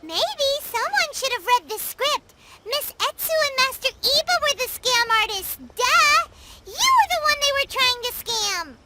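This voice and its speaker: A woman voicing a small, sassy, childlike fairy companion in a video game, blunt and squeaky. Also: sing-song, measured pace, hardly any pauses, sharp tone, a little hiss or hum, heavily acted. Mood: sassy, scolding. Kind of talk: cartoonish video game voice acting.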